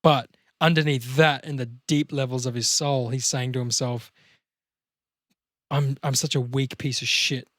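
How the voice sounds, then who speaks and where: Mid-pitched man explaining acting, dry sound. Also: steady tone, a little slow, a bit bright, no room sound. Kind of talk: casual, explanatory chat about acting.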